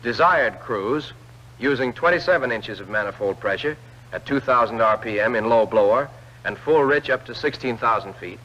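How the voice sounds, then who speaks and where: A man reads scripted instructional narration for a 1940s military training film, clearly addressing the trainee directly. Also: medium pitch, animated, moderate pace, sharp tone. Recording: old film soundtrack, dull lo-fi band.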